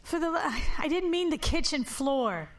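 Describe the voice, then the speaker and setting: A woman's voice: high voice, big pitch swings, unhurried. An actress playing a guarded, weary but firm woman in a naturalistic audio drama, with a curt, dry manner. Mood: exasperated, firm.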